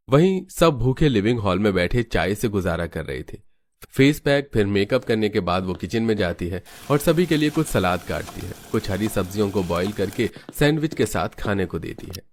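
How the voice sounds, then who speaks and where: Male narrator, lower register, scripted cartoon storytelling, slightly noisy recording.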